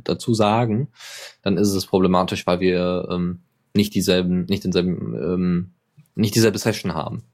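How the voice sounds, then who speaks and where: Male podcast host, very low voice, casual news chat, hesitant and rambling. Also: a little mellow. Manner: rambling, many fillers and self-corrections.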